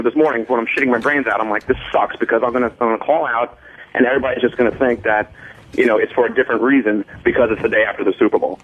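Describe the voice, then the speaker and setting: A man on a phone call in casual radio banter, explaining himself in a halting, repetitive, defensive and profane way. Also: medium pitch, slightly rough. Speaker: radio show staffer calling in sick. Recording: muffled phone-like line.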